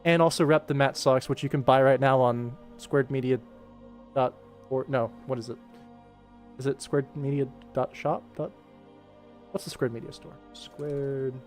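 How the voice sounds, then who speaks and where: A man's voice: medium pitch, fairly even intonation, unhurried, darkish tone. Rambling, joking man streaming over background music. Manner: rambling, self-deprecating, jokey. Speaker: animator streaming his work. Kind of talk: casual livestream chat while working.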